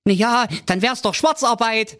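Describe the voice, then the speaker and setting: A man voicing a vain, dim-witted young prince in a squeaky falsetto with a Saxon accent. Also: unhurried, fairly bright tone. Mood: goofy, excited.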